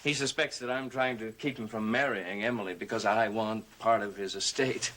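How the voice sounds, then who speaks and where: A man playing a smooth, scheming gothic occultist. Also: fairly low pitch, fairly even intonation, moderate pace, a bit bright, close to an everyday voice. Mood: aggrieved, candid. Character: scheming, smooth male occultist relative after an inheritance. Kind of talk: gothic television soap acting.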